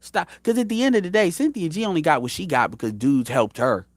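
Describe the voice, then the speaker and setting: A man's voice: on the high side, quick pace, no echo. A man in his thirties giving blunt, profane opinion commentary as a show host, rambling with frequent restarts. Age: 30s.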